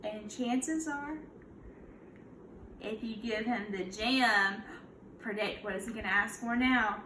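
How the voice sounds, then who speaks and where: A woman's voice: a little high, takes it slowly, sharp tone. A woman, a kindergarten teacher, reads a picture book aloud to young children in an instructive way, prompting them with questions. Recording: echoey room, clear background noise.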